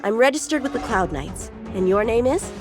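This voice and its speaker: A woman's voice: on the high side, moderate pace, music in the background, lightly acted. A woman voicing an eager, confident teenage boy swordsman in an English game dub, earnest, polite and talkative. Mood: earnest, courteous. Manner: earnest, animated.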